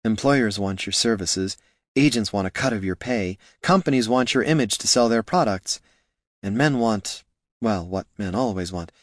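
A man voicing a weary veteran female elf ranger who gives calm, wry advice in a storybook reading. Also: fairly low pitch, normal speed, no room sound, clean studio sound, close to an everyday voice.

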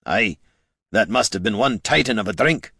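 A man doing a gruff grumbling warrior. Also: on the low side, expressive, moderate pace, sharp tone, dry recording, studio quality, heavily acted. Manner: grumbling, plain-spoken dialect.

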